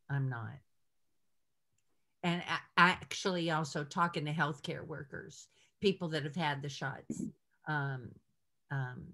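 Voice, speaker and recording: Older woman, low voice, ministry host, halting, encouraging, informal, home video call. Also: a bit bright. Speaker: ministry leader hosting a call. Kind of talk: informal prayer-call talk. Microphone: video call computer mic.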